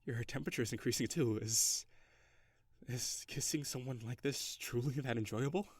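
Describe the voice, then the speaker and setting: A man's voice: medium pitch, normal speed, husky, no echo, natural voice. A man playing a curious, reserved alien in an intimate audio roleplay, speaking in stiff, formal, precise phrasing. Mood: flustered, intimate. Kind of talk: intimate scripted audio roleplay addressed to the listener.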